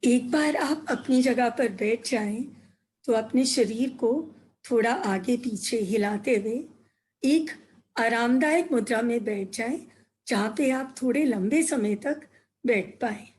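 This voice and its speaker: Slow, higher-pitched woman guiding meditation. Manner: gentle, unhurried instruction in short phrases. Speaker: meditation guide and translator. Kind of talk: guided meditation narration.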